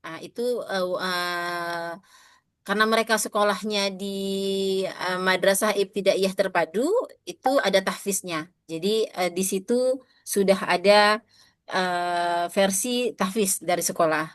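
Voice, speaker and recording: A woman's voice: mid-range voice, measured pace, no echo. Chatty woman lecturing teachers, dry sound. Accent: Indonesian. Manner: addresses audience often, asks questions, frequent fillers. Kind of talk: interactive teacher-training lecture.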